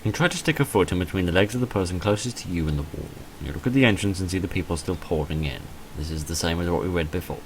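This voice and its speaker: A low-pitched man narrates a text-based game, switching between reading aloud and offhand commentary. His asides are wry and self-deprecating. The recording carries noticeable background noise. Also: rough recording. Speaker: gaming video narrator reading a visual novel aloud.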